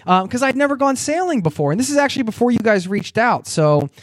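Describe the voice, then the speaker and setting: A man's voice: very high-pitched, sing-song, fast talker, no echo, studio quality. A man hosting a podcast interview in a casual, chatty way, laughing often and using plenty of fillers. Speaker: podcast host.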